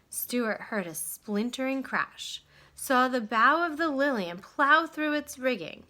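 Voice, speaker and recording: Female storybook narrator. Bright, forward tone, slow deliberate pacing, expressive and dramatic read-aloud delivery. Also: a little high. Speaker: storybook reader.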